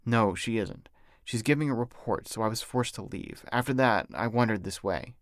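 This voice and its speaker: A man voicing an anxious husband, fairly low. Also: normal speed, dry recording, clean studio sound, natural voice. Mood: miserable, pleading. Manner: hesitant, submissive. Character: anxious, apologetic husband of a wounded agent.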